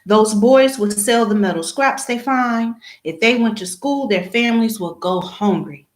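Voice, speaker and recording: A woman voicing a gentle, troubled father who explains things to his child in a measured way, as in a storybook. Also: on the high side, natural voice. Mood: gentle, serious. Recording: echoey room.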